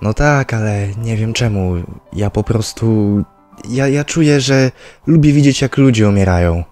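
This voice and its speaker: A man's voice: lowish voice, a little slow, lightly acted. A man voicing a creepy, cheerful male cursed spirit villain in a comedy fan dub, with a smug, lazy drawl. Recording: soft music underneath.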